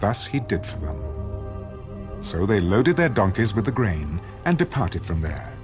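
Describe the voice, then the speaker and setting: A low-voiced man narrates scripture as solemn audio theater. His delivery is very varied in pitch and scripted, with prominent music playing behind him. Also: big pitch swings, measured pace. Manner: solemn storytelling. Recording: loud music bed.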